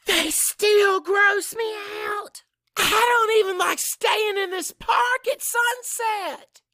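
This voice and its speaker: A man's voice: very high voice, takes it slowly, pushed and bright, no room sound, pitched above the performer's own voice, exaggerated voice. A man voicing a sweet, casual grown daughter in audiobook dialogue, with a light, offhand manner. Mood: upbeat.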